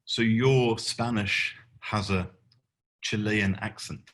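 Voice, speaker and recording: A man hosting an interview, asking measured questions and giving brief responses. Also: on the low side, steady tone, fairly bright tone. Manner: measured, deliberate questions with short backchannels. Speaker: radio interviewer host.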